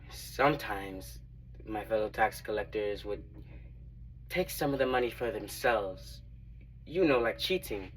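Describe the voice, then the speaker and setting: A young man playing a reverent, earnest disciple who recounts his memories in a halting, reflective dramatic monologue. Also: medium pitch, a bit bright, slightly rough, far from the mic, low-quality recording. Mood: reflective, humble. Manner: halting, reflective, with long pauses.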